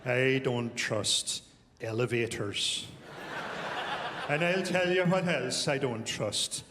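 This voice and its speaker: A male stand-up comedian voicing a gloomy, distrustful elderly parent with a sad, slow Donegal Irish accent. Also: mid-range voice, close to an everyday voice. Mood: mournful, gloomy. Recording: live hall reverb, clear background noise.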